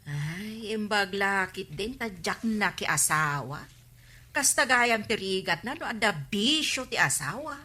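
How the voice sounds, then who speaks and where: A woman's voice: mid-range voice, expressive, unhurried, bright, forceful tone. A woman playing a sympathetic, gossiping village friend in an Ilocano comedy radio drama.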